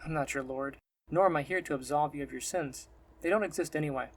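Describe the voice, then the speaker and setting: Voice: mid-range voice, fast, husky. A narrator voices a young male healer from an audiobook fantasy. He speaks drily and with restraint, solemn and compassionate, with a righteous streak. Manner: dry, restrained.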